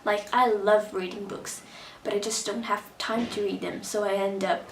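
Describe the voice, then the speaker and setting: A young Brazilian woman whose first language is Portuguese, speaking English with a mixed Brazilian, American and British accent in a chatty, animated vlog, talking from her bedroom. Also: medium pitch, normal speed, reverberant, a little hiss or hum. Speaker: vlogger. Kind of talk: casual direct-to-camera vlog.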